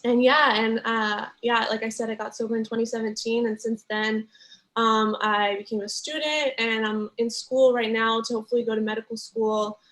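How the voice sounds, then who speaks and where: Woman from denmark, fairly high voice, laid back, lots of ums. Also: normal speed. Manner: relaxed and hesitant, many ums. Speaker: panelist. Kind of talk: casual personal introduction.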